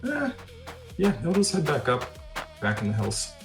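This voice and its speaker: A man's voice: a little high, wildly swooping pitch, moderate pace. A man playing a tabletop fantasy game, casually narrating what his character does. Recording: roomy sound with music behind.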